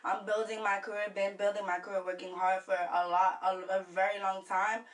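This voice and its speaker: A woman's voice: medium pitch, little pitch movement, a little fast, recorded from a distance. A woman with a New York accent, speaking candidly and informally as a singer-songwriter guest in a casual radio interview.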